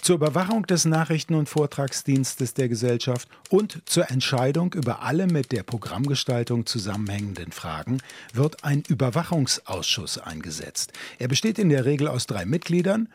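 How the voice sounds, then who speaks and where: A man's voice: medium pitch, lively intonation, moderate pace, a little mellow, slight background noise. A man reading historical texts aloud in a formal, precise, declamatory style. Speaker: reader of historical quotations.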